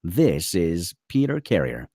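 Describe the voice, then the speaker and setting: A man with a low voice narrates a screenplay, reading scene headings and action. His delivery is dramatic with lively swings in pitch, recorded close and dry. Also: slow, slightly rough, dry recording. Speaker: narrator reading stage directions. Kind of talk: dramatic scripted narration at a table read.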